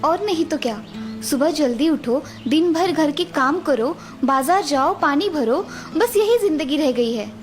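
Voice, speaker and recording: A woman's voice: sits high, expressive, measured pace, faint music behind. A woman voicing a young village wife who is fed up with housework and complains sarcastically. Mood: complaining.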